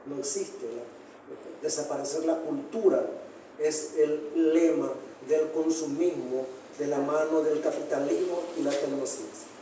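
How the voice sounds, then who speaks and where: A man speaking as a psychoanalyst gives earnest, declamatory remarks full of rhetorical questions. His mid-high voice is mellow in tone, caught from far off in a large echoing hall with faint noise. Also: fairly even intonation, unhurried. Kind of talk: panel discussion remarks. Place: large hall, book presentation.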